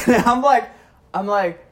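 A man's voice: sits high, takes it slowly. A man hosting a casual chat, rambling along in short bursts, recorded on a phone in a garage. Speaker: podcast host. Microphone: phone used as recorder.

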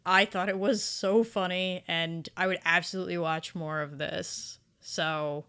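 A woman's voice: lowish voice, steady tone, unhurried, pushed and bright, smooth. A woman co-hosting a podcast, talking casually and emphatically as she gives enthusiastic reviews. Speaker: podcast co-host reviewing anime.

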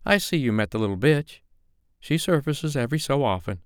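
A man's voice: medium pitch, animated, a little fast, dry recording, studio quality, voice pushed up, lightly acted. A man voicing a haughty, catty woman in an audiobook, irritated and scornful in manner. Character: haughty, catty statuesque woman, sharp and dismissive.

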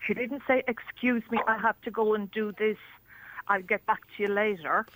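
A woman's voice: medium pitch, moderate pace, fairly bright tone, slight background noise, low-quality recording. Blunt Irish woman calling in. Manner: blunt, insistent. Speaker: radio phone-in caller. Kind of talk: conversational debate.

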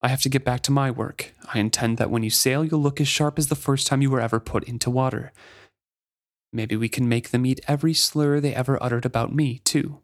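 A man acts out a fierce ship captain from a fantasy novel, exasperated with his stubborn crew. He speaks in an emphatic, commanding way and curses as he lays down the law. His pitch is middling for a man. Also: fairly even intonation, fairly quick, lightly acted. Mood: calm, firm. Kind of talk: dramatic reading from a fantasy novel.

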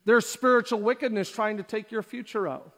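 Pastor, male, high voice, preaching with rhetorical questions, reverberant church auditorium. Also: a little fast, a bit bright. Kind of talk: sermon. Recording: long hall reverb.

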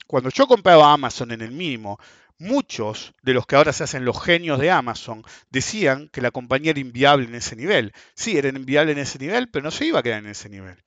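A man's voice: medium pitch, big pitch swings, fast talker, a bit bright, no echo. A man in his forties with a Rioplatense Spanish accent, ranting bluntly and crudely in a casual monologue about markets and keeps asking "ok?". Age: 40s. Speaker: market commentator. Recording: dull dry lo-fi sound.

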